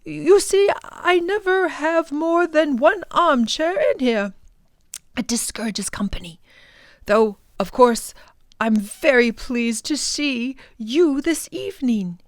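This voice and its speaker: A woman voicing a kindly, courteous male professor in a storybook reading, in her own lively, slow voice. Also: high voice, no room sound, clean studio sound, pitched above the performer's own voice. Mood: genial. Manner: gentle and courteous. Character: kindly, polite middle-aged male professor.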